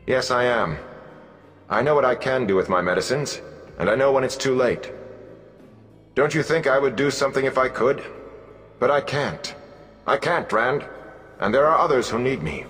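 A man's voice: on the low side, expressive, moderate pace, hardly any pauses, bright, forceful tone. A man voicing a weary, resolute village healer woman in a fantasy audiobook, blunt and matter-of-fact but compassionate. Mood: firm, pained. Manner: firm, matter-of-fact, commanding. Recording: long reverb, music behind.

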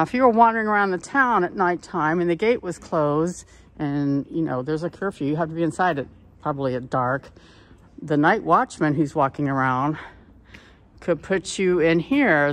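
A woman narrating a walking tour outdoors, chatting casually and pointing out the sights as she films. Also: deep voice. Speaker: tour guide. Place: outdoors on old town streets.

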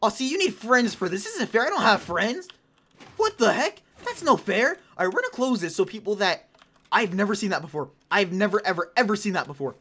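A woman in her late teens hosting casual gameplay commentary, chatty and excitable, rambling between topics, her voice a little hoarse. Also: mid-range voice, a little fast. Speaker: gaming channel host.

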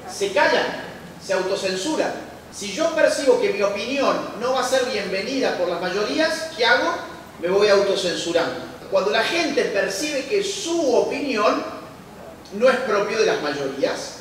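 A man's voice: high-pitched, slight background noise. A man, giving an emphatic public lecture and firing off rhetorical questions that he answers himself. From Argentina. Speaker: conference speaker. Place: large church hall used as a lecture venue. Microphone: handheld mic, recorded by a distant camera. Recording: echoey church hall heard from a distance.

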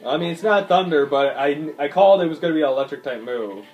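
A man's voice: a little high, animated, fast, highs cut off. Man muttering through a video game. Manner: thinks aloud, swears, frequent ums and uhs. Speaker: gaming YouTuber. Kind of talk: casual gameplay commentary. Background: faint game music.

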